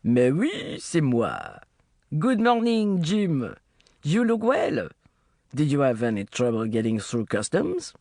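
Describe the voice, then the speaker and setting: Woman playing a genial fifties man, French accent, smooth, lively, dry sound. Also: sits low, slow, no echo, full character voice.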